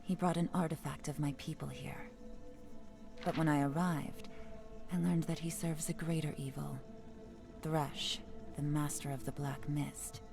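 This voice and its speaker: A woman playing a grave, wise mystical storyteller in a video game, speaking in a measured, dramatic way. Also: low voice, steady tone, creaky. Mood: grave, warning. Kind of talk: scripted video game acting and narration. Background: loud music.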